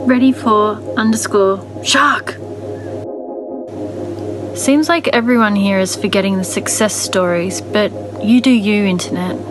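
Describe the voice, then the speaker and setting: A woman acts out a dismissive but optimistic forum poster in a story reading. Her pitch is average, and music plays clearly behind her. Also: unhurried, close to an everyday voice. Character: dismissive, optimistic female online commenter.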